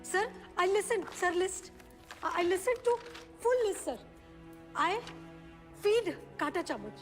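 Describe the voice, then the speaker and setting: A woman's voice: high voice, steady tone, takes it slowly, soft background music. A desperate mother. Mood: desperate pleading. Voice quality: broken English, repetitive sobbing pleas. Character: desperate Indian mother pleading for her children. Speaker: defendant mother. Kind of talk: film courtroom drama. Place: courtroom. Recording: echoey courtroom hall.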